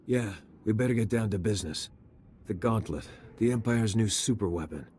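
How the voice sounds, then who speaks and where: An actor playing a wry, weary undercover intelligence agent in a video game, with a rough, husky voice. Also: male voice, on the low side, normal speed, darkish tone, a little hiss or hum, lightly acted. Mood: grave briefing. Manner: wry, colloquial.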